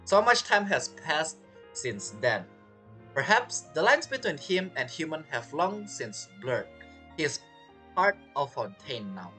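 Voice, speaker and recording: A game streamer commenting casually on a playthrough. The voice sits at a middling pitch and moves up and down a lot, with choppy non-native phrasing over game music. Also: big pitch swings, measured pace, bright, forceful tone.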